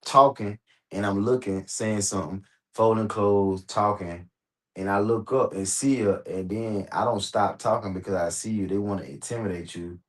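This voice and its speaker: An American man talking casually on a livestream, animated and slangy, swearing often and repeating himself for emphasis. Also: fairly low pitch. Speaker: host reacting on a livestream. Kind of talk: casual rambling livestream talk.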